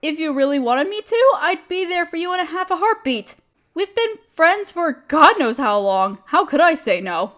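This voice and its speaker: A woman's voice: extremely high pitch, big pitch swings, moderate pace, a bit bright, heavily acted. A woman playing an overworked, apologetic young woman confiding hesitantly in a close friend in an intimate audio roleplay. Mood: sheepish, warming. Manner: self-deprecating, hesitant, casual. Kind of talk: roleplay audio drama, intimate one-sided conversation.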